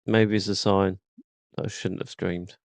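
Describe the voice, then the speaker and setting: A man's voice: low voice, level tone, a little slow, vocal fry, dry recording. A man casually commenting while streaming a game, wry and self-deprecating.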